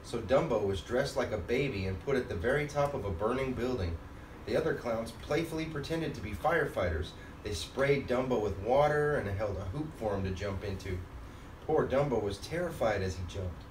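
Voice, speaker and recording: A man reading a children's storybook aloud as a father and host, in an expressive manner. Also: medium pitch, moderate pace. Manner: expressive, acts out character lines. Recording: roomy, distant mic, faint background noise.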